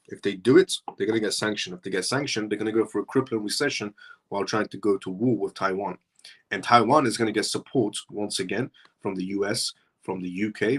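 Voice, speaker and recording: A man's voice: lowish voice, fairly bright tone, husky. A man originally from Iran, hosting a live show and talking through his points in a rambling way that circles back on itself. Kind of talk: casual livestream commentary.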